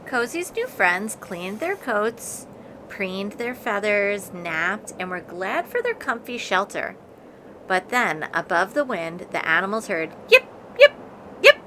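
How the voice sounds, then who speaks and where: A woman reading a children's picture book aloud in an expressive, deliberate storytelling manner. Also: mid-range voice, noisy background. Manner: deliberate, dramatic storytelling with acted voices. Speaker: storybook reader.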